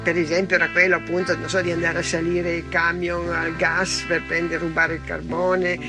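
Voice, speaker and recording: An older woman explains in a gravelly voice with little pitch movement. She is hesitant and corrects herself. Music plays behind her. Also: level tone, normal speed. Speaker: documentary witness. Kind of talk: oral history interview, explaining.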